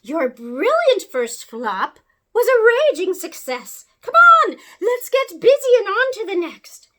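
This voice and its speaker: Woman putting on an old great-aunt, elderly, jubilant, high shrill voice, loud and bright. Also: very animated, takes it slowly, exaggerated voice. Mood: joyful. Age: older. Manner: exuberant, cheering, high and loud. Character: excitable old great-great-aunt, a former plane builder.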